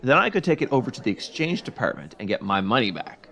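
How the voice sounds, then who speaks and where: A man's voice: mid-range voice, big pitch swings, fast, bright, forceful tone. An actor playing a naive, hapless teenage boy in an exclamatory 1940s radio comedy style. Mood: pleased with himself. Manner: exclamatory, hesitant, gee-whiz eagerness. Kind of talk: 1940s radio sitcom acting.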